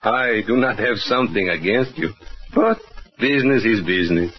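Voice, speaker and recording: A knife-wielding Mexican killer from an old radio drama, matter-of-fact and amused, with a Mexican Spanish accent. His voice is deep, and the sound is muffled and slightly hissy, like a vintage broadcast. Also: big pitch swings, moderate pace. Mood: calmly menacing. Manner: broken English, chuckling, matter-of-fact menace. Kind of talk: 1940s radio acting. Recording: dull old radio broadcast with faint hiss.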